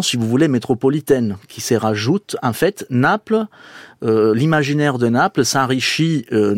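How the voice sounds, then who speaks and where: A man's voice: medium pitch, dry recording, clean studio sound. A man from southern Italy, a guest researcher on a radio panel who talks thoughtfully and restarts his phrases often. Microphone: studio microphone.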